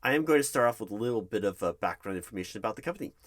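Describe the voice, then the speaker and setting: A man's voice: lowish voice, animated, racing, natural voice. A man playing a nervous, polite corporate hiring manager in an ASMR roleplay, speaking formally with some hesitation. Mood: formal, earnest. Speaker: roleplay performer. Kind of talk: one-sided ASMR roleplay of a job interview.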